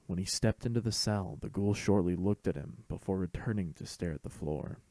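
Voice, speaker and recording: A man's voice: sits low, brisk, dark tone, dry recording. A man reading a scripted fan fiction story as host and narrator.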